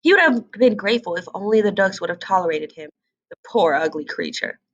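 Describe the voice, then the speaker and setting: A woman reading a fairy tale aloud as an expressive storybook narrator. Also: mid-range voice, brisk, dull audio.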